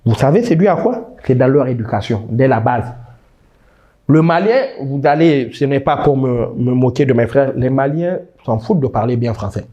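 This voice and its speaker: A man's voice: mid-range voice, expressive, normal speed, dark tone, highs cut off. A man in his thirties from Niger, answering interview questions with emphatic, passionate talk, often restarting words. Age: 30s. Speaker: guest.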